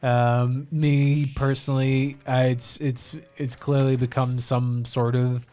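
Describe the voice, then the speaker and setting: A man with a mixed American-leaning accent, co-casting a game in a relaxed, thinking-aloud way. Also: mid-range voice, slow. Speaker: co-caster. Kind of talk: casual game commentary.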